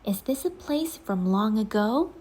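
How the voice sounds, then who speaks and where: A woman playing a bold, eager little girl from a children's storybook. Her voice lifts high and swings widely, and she speaks with trusting, excitable emphasis. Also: a little slow. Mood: curious, tender. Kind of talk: children's storybook read-aloud.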